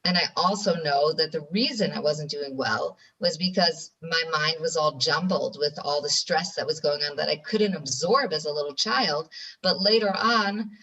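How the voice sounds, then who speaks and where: An American woman from New York speaks in a bright, forceful voice set a little low. She tells her story earnestly as a podcast guest and often restarts her phrases. She sits a bit back from the mic. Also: far from the mic, rough recording. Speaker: guest, ADHD educator. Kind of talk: interview on a podcast.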